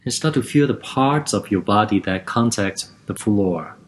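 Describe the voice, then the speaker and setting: A man guiding a lying-down relaxation and breathing exercise, giving gentle step-by-step instructions in a calm, even way. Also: fairly low pitch, moderate pace.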